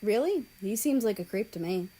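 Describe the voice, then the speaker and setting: A woman's voice: mid-range voice, expressive, normal speed, warm tone, slightly rough. A woman voicing a scornful teenage boy in an audiobook story, with a sneering, dismissive manner. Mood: skeptical.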